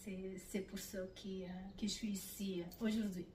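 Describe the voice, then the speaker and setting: A woman's voice: medium pitch, flat delivery, moderate pace, warm tone, echoey room, distant mic, a little hiss or hum. A middle-aged Italian woman speaking French with an Italian accent as an interview guest, talking casually and hesitantly with many fillers. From Italy.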